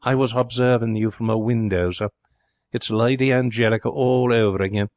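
A man's voice: mid-range voice, fairly even intonation, normal speed, darkish tone, no room sound, pitched above the performer's own voice, lightly acted. Guy reading as a courtly old butler, unflappable and sly, voice lifted up a bit, storybook style, dry sound. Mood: smooth, scheming. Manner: courtly, indulgent, formal and deferential. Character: stately old bald butler, scheming, deferential, unflappable.

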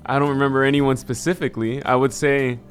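A young man chatting as a guest on a student podcast, telling lively stories and acting out quotes. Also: medium pitch, fast, faint music behind. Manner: lively storyteller, acts out quotes. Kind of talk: casual podcast chat.

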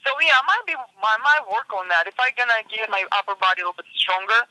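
Russian-accented guy, high voice, super bright and tense, giggles a lot, dry close sound. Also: expressive, no room sound, poor audio. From Siberia, Russia. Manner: giggly, laughs often, halting phrasing. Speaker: obstacle racer, guest. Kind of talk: casual interview chat.

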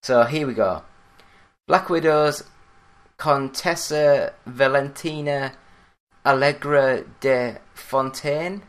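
Podcast host from Yorkshire, English male, average pitch, plain monotone read. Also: slow. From Yorkshire, England. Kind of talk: solo gaming news podcast.

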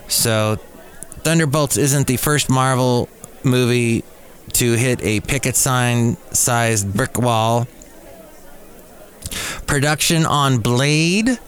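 A man hosting a solo podcast, delivering entertainment news commentary in a wry, opinionated way. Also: medium pitch. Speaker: podcast host. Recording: clear background noise and ambience.

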